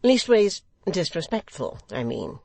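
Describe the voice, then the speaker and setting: A woman's voice: fairly low pitch, sing-song, a little slow, gravelly, darkish tone, no room sound, voice pushed up, full character voice. A woman voicing a testy, flustered male Lord Mayor who dithers and fusses. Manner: fussy, dithering.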